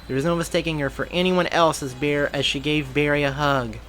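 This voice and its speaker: A man reading a fan-written story aloud in a steady narrating voice. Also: on the high side, fairly quick, a little hiss or hum. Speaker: reader of fan fiction.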